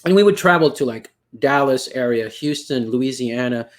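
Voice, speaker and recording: A man's voice: medium pitch, animated, moderate pace. A man in his thirties with a South Texas accent, hosting a video-call panel and talking in rambling, self-correcting turns with Spanish words mixed in. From Rio Grande Valley, South Texas. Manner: rambling, many restarts, mixes Spanish words. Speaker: panel host. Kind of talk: casual panel discussion. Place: home room. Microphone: headset on video call.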